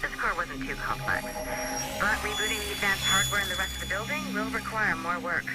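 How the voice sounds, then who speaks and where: An actor playing a nervy, chatty office IT technician, talking informally and a bit wryly in video game dialogue. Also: male voice, high voice, brisk, over loud music, lightly acted. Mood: instructive, encouraging. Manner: hesitant, informal, wry. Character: nervy, chatty male office IT tech, snoopy and sheepish. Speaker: IT technician coworker. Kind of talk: game dialogue, casual guidance.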